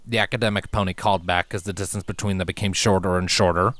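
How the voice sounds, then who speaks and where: A man's voice: sits low, fairly even intonation, quick pace, a bit bright, no room sound. A man reading a scripted fantasy story aloud as its narrator. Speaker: fan fiction reader, narrator. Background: background music.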